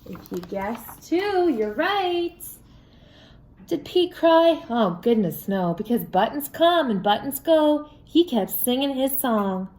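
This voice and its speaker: A woman reading a picture book aloud to young children in an expressive, sing-song way, putting questions to her listeners. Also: high voice, slow, reverberant. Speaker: children's story reader. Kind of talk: read-aloud for young children with sung refrains.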